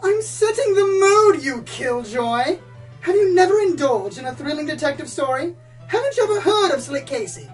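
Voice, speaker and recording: A woman's voice: sits high, sing-song, normal speed, far from the mic, soft background music. A woman playing a pompous, dramatic male pony storyteller who loves noir detectives, florid and self-important, in a comedic radio play. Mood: indignant. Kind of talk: comedic radio play, hardboiled narration parody.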